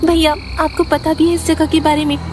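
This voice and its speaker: A woman voicing a worried, devoted young sister with an earnest, pleading manner in an animated moral story. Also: high-pitched, brisk, music in the background, natural voice. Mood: worried, urging.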